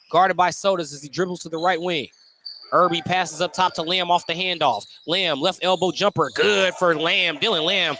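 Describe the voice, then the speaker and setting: High-voiced American man calling basketball play-by-play. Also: sharp tone, a little hiss or hum. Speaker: play-by-play sports announcer. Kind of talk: live radio basketball play-by-play commentary.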